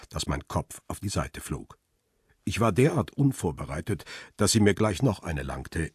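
World-weary noir narrator, real raspy deep voice, reflective, dry studio sound. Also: male voice, dry recording, exaggerated voice. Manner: sober, reflective. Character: world-weary male paparazzo narrating his own story. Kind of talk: scripted first-person narration, radio crime drama.

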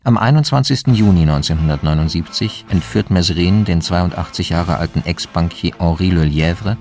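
Low-voiced male narrator over music. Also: darkish tone. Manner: factual, measured reporting. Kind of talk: documentary-style scripted narration in a radio drama.

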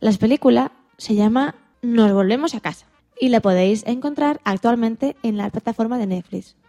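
A woman co-presenting on radio, reading a scripted recommendation haltingly and stumbling over words. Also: fairly high pitch, warm tone, faint music behind.

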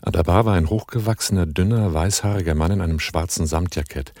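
An actor playing a cool, mocking married crook who also narrates a radio play. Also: male voice, sits low, fast, background noise, close to an everyday voice.

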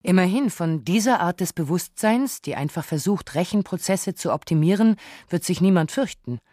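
A woman reading a scripted radio documentary narration in a measured, reflective way. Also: lowish voice, animated, mellow, no room sound, studio quality.

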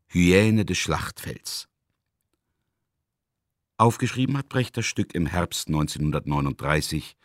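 A man presenting scripted literary commentary in a measured, articulate and emphatic way. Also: a bass voice, no room sound, studio quality. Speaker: radio presenter introducing a classic play.

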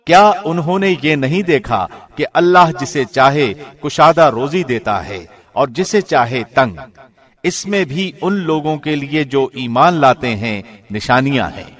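A man, a professional radio host, reading a translation aloud in a measured, formal way. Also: a little high. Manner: measured, formal, even delivery. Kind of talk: scripted reading.

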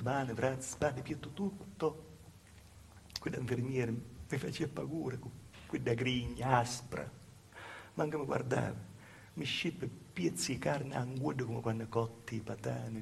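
A man's voice: mid-range voice, creaky, a little hiss or hum, natural voice. An actor playing a humble, wronged southern Italian village woman in a plaintive theatrical monologue, with a Calabrian accent and repetitive storytelling. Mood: bitter, suffering. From southern Italy, Calabria. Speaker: stage actor performing a monologue. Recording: live stage performance with music. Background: live music.

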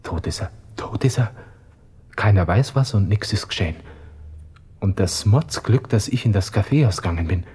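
Husky low-voiced young viennese army officer, hot-headed and self-absorbed, nervy muttering to himself, radio play. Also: male voice, lively intonation, moderate pace, mellow. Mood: secretly jubilant. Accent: Viennese Austrian. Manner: agitated muttering to himself, switching to feigned casualness. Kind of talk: radio drama interior monologue.

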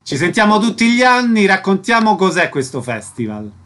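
A man's voice: high voice, sing-song, moderate pace, fairly bright tone. A man hosting a radio show, interviewing his guests in a conversational style.

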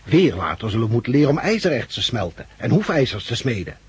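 A man acting a blunt, loudmouthed labour agitator turned survivor leader in a 1960s radio play, forceful and lecturing. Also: mid-range voice, sing-song, fast talker, slight background noise, lightly acted. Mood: earnest, lecturing. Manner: forceful, lecturing, coarse-tongued. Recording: muffled-edged 1969 radio play recording.

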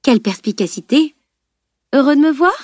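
A woman voicing a bossy, sharp-tongued teenage girl with a commanding, sarcastic delivery in an audiobook. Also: a little high, moderate pace, no room sound, studio quality, close to an everyday voice. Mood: defiant, sarcastic. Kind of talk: dramatised audiobook dialogue.

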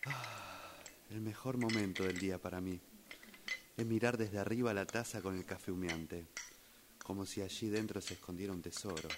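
A man's voice: low voice, moderate pace, background noise. A man reading a dramatic monologue as a radio drama workshop student.

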